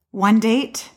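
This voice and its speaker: An actress playing a weary, guarded woman who has given up on love, speaking hesitantly in a naturalistic audio drama style. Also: darkish tone. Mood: softening, tentative. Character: weary, disillusioned woman who stopped believing in soulmates.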